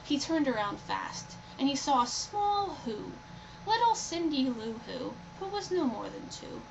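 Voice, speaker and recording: A woman narrates a rhyming children's story expressively in a fairly high voice. The recording is somewhat muffled, with clear noise behind her. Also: very animated, unhurried. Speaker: storybook reader. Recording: dull, lo-fi, loud background noise.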